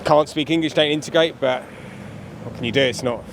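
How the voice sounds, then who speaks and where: A man's voice: on the high side, brisk. A man answering a street interviewer in a resigned, matter-of-fact way. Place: outdoors on a city street. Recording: clear street noise behind.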